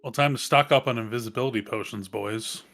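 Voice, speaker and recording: Man, mid pitch with heavy vocal fry, casual gamer banter, music underneath. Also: a little fast, a bit bright. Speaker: tabletop game player. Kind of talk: casual joking tabletop chat. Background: background music.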